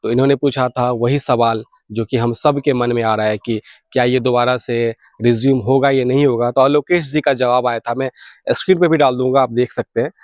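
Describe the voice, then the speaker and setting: A man's voice: mid-range voice, steady tone, brisk, dry recording, clean studio sound. A man with an Indian accent giving an informal update to viewers as a channel host, explaining and rephrasing his points as he goes. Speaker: video channel host sharing radio hobby news.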